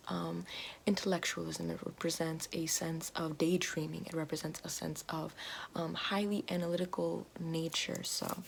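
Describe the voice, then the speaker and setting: A woman speaking softly as an ASMR host guiding the listener, slow and hushed, often slipping into a whisper. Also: on the low side, creaky, noisy background. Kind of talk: soft-spoken ASMR roleplay, guiding a grounding exercise.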